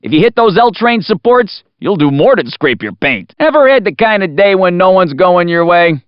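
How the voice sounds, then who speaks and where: High, animated American racing announcer. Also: male voice, normal speed, smooth, dry recording. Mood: cheeky, upbeat. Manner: folksy, wisecracking, showy delivery. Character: folksy wisecracking Chicago announcer man in a racing game. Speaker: video game announcer. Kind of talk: scripted radio-DJ style race commentary. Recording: muffled, lo-fi game audio.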